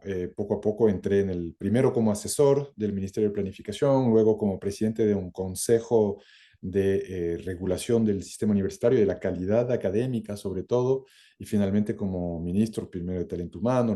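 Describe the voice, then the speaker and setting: Deep, very mellow male interview guest. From born in France, lived some twenty years in Ecuador. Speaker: interview guest, academic and former government minister. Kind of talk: reflective interview answers.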